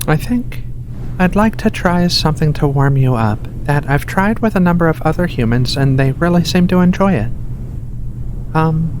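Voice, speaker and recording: A performer voicing a gentle giant furry alien researcher in a soft, hushed, soothing ASMR roleplay. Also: close to an everyday voice. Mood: gentle, reassuring. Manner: soothing, unhurried, reassuring, coaxing. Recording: steady rumbling ship ambience behind voice. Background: low engine rumble of a spaceship.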